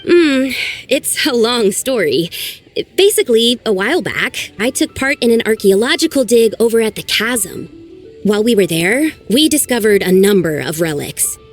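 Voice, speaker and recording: An earnest, anxious young archaeologist speaks in a fairly high, expressive voice. She sighs over her troubles, and soft music plays beneath her. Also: normal speed. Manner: earnest and sighing. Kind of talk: game voice acting.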